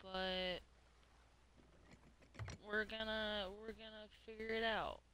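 A woman's voice: medium pitch, flat delivery, slow, bright, forceful tone, slight background noise. A woman streaming a game and chatting casually, hesitant and rambling, with free swearing. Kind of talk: casual livestream chat while playing.

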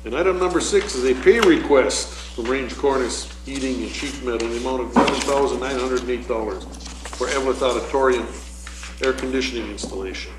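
A man in a middle pitch runs through motions and votes in a brisk, routine way. He speaks from a council chamber. The room rings with echo and there is audible background noise. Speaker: mayor chairing a city council meeting. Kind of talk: procedural meeting chairing.

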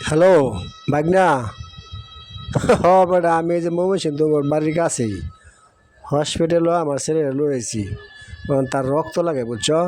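Man playing a high-voiced, anxious village father. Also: normal speed, pauses often, darkish tone, no echo, faint music behind, lightly acted. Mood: worried, urgent. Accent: Mymensingh Bengali. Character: worried rural father seeking help for his son. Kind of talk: cartoon moral story dialogue.

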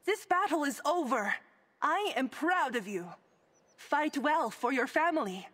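A woman's voice: high voice, expressive, unhurried, fairly bright tone. A woman voicing a bold, honorable young sword-wielding warrior in a video game, with proud, emphatic delivery. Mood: proud, earnest. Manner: proud, declarative, emphatic battle calls. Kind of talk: video game character voice lines.

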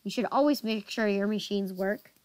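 A woman's voice: mid-range voice, moderate pace, darkish tone, dry recording. Patient woman tutoring by video call. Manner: patient, questioning, checks answers. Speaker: accounting tutor. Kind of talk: guiding a student through a worksheet.

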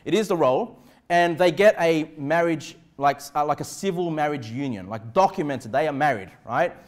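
Aussie guy pastor preaching, high pitched voice, emphatic but chatty, lapel mic at the pulpit. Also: lively intonation, normal speed. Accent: Australian. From Australia. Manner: emphatic, conversational preaching with check-in tags. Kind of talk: sermon. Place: indoor church pulpit in a small room. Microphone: clip-on lapel mic.

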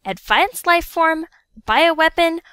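A woman's voice: extremely high pitch, lively intonation, slow pace, sharp tone, no room sound, studio quality, heavily acted. A woman playing a skeptical, bossy teenage schoolgirl in a fan audio drama, blunt and teasing. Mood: opinionated.

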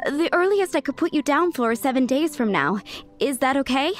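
A woman voicing a proud, opinionated village cook in a video game, brisk and assertive. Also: high voice, expressive. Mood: apologetic. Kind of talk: acted video game dialogue. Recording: faint game music underneath.

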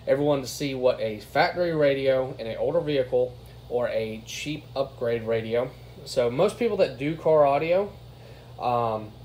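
Male reviewer, informal and plain-spoken, average pitch, faint room noise behind him. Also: darkish tone. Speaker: product reviewer. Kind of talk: casual hands-on review commentary. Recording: clear background noise, room ambience.

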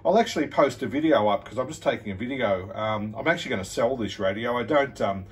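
A man's voice: mid-range voice, fast talker, far from the mic. An Australian man chatting casually and encouragingly as an amateur radio operator, recorded indoors on a phone camera. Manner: friendly, encouraging. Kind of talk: casual ham radio chat. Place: indoor room at radio desk.

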